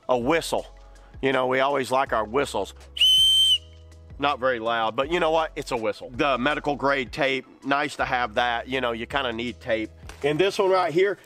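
A middle-aged man with a slightly higher voice talks through a piece of outdoor gear in a loose, rambling way. He leans on fillers and restarts, recorded close to the mic. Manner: relaxed, rambling, frequent fillers like "you know" and "kinda". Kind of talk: casual product review.

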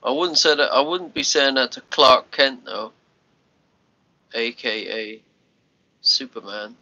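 A man's voice: mid-range voice, slow pace, pushed and bright, no room sound, muffled recording. Congested Scottish man on a phone livestream. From Scotland. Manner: rambling, restarts words, chats to commenters. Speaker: livestream host. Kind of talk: casual live chat with viewers. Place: small bedroom, lying on a sofa. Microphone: phone held at arm's length.

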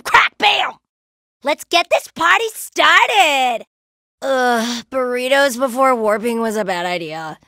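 A brash young female alien brawler for a video game. Her voice is high and forceful, swinging widely in pitch. She talks slowly in a cocky, playful slang with drawn-out exclamations. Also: no echo, clean studio sound, heavily acted. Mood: cocky, pumped. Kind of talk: video game character voice lines.

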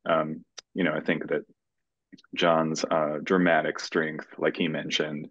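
A man in his thirties, a screenwriter casually telling his story in a halting way, over dull, dry video-call audio. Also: a bass voice, flat delivery, fairly bright tone, no room sound. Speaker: screenwriter being interviewed. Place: indoor room, video call.

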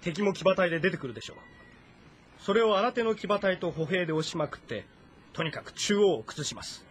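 An actor playing an earnest young general in a historical game, reporting in a respectful, orderly way. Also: male voice, sits high, lively intonation, a little fast, a bit bright, slight background noise. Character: earnest young general, warlord's son proposing tactics.

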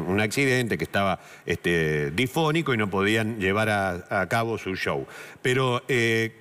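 A man with an Argentine accent hosting a TV studio interview, asking measured questions with filler words. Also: mid-range voice, a bit bright. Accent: Argentine Spanish. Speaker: TV show host. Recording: reverberant studio sound.

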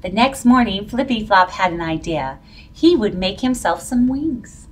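A middle-aged woman reading a children's picture book aloud in an expressive, dramatic, drawn-out way. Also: medium pitch. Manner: animated, drawn-out, dramatic delivery for young children. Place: plain-walled indoor room. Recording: voice picked up from a distance.

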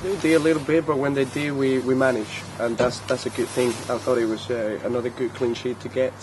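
Young adult man, goalkeeper, flat and halting post-match answers, clear street noise. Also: medium pitch. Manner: halting, many fillers. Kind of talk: post-match press interview. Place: outdoor press area by a sponsor backdrop. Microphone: handheld recorders held close.

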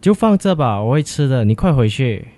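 A man plays an impatient, stressed young male student in a radio drama. His mid-pitched, slightly dark voice swings up and down as he gives curt replies, over a low rumbling noise. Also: sing-song, a little fast, lightly acted.

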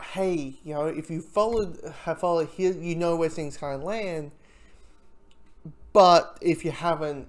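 A reviewer talking casually and off the cuff, in a hesitant, halting way with frequent false starts. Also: mid-range voice, a little mellow.

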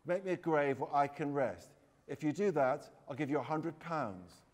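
A man's voice: on the high side, expressive, normal speed, darkish tone. A man voicing a huge, solemn ghost wolfhound, commanding and menacing, in a storybook read aloud. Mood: bargaining. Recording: echoey hall, long reverb.

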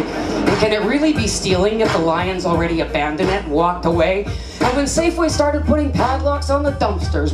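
Middle-aged Canadian woman, spoken word poet, rhythmic and dramatic, higher voice, live echoey room. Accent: Canadian English. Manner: rhythmic, theatrical delivery with big gestures. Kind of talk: performed spoken word poetry. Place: small stage at a festival venue. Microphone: handheld microphone.

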